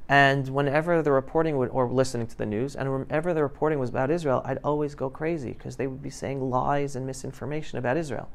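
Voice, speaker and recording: Male guest speaker, around 50, smooth clear voice, informal classroom talk, emphatic and interactive. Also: mid-range voice, steady tone, fast talker, few pauses. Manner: emphatic, questioning the audience. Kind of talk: informal talk to high school students. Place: classroom, indoors. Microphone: lapel mic.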